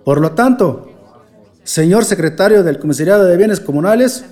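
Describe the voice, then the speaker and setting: An actor playing a calm, formal village leader addressing an assembly ceremoniously in a radio drama. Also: male voice, sits high, moderate pace.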